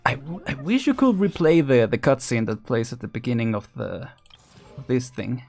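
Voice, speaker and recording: Male game streamer, mid-pitched, casual and rambling, thinking aloud with music behind him. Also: animated, normal speed, vocal fry. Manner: rambling, chuckling, thinking out loud. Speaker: live streamer.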